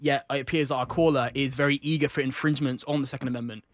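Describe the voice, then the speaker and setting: A man's voice: mid-range voice, steady tone, rapid-fire, no echo, studio quality. A man with a Jamaican accent arguing insistently on a radio talk show, often repeating himself as he pushes his point. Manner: insistent, interrupts, repeats words. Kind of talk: heated radio debate.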